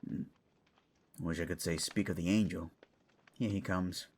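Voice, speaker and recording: A man playing a gruff, bookish older lord in a fantasy audio drama, wry, loyal and theatrical. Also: deep voice, fairly even intonation, measured pace, darkish tone, slight background noise. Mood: resigned.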